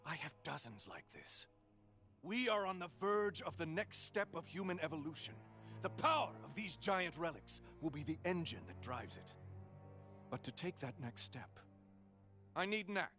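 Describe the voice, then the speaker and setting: An actor playing a suave, arrogant villain in a video game, smug, theatrical and condescending. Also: male voice, fairly high pitch, sing-song, normal speed, pushed and bright, faint music behind, exaggerated voice. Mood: grandiose. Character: suave arrogant male villain, wealthy inventor.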